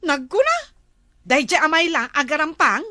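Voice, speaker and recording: A woman playing a sociable, nagging, jealous wife in an Ilocano village comedy drama, speaking with many drawn-out exclamations. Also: high-pitched, very animated, sharp tone, no room sound, studio quality, heavily acted. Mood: jealous, indignant.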